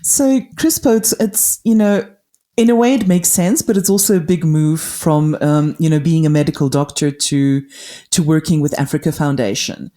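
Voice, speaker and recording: A woman with a mellow, relaxed tone hosts a podcast interview. She speaks politely and warmly to her guest, with some fillers as she frames her questions. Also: deep voice, moderate pace. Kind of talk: hosted interview.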